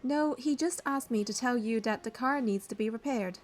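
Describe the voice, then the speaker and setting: An actress playing a caring, curious young heroine who narrates and speaks in a romance game, measured and earnest. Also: female voice, fairly high pitch, soft background music, natural voice. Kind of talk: first-person narration and dialogue in a romance game.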